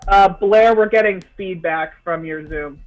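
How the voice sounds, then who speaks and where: A man's voice: sits high, unhurried, rough recording. A man in his twenties, a native Scots speaker, chatting casually and jokily as co-host of a group livestream on a video call.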